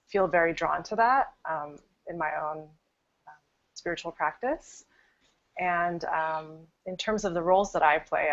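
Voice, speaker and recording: A woman in her thirties from Taiwan, introducing herself on a panel in a soft, measured way with many pauses. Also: low voice, gravelly. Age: 30s. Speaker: panel guest. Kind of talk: casual self-introduction on a video call. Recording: dull lo-fi video call audio.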